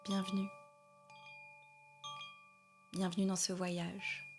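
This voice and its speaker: A woman leading a guided meditation as a yoga nidra guide, gentle and soothing, speaking in short phrases with long pauses. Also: medium pitch, completely flat delivery, music in the background.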